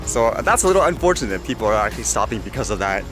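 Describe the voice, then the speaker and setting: A man's voice: fairly low pitch, big pitch swings, very fast. A man vlogging casually, talking through things informally and musing aloud with questions to himself and viewers. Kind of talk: casual vlog chat, reading news items aloud with comments. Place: outdoors in a windy urban area. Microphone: action camera. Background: clear background music.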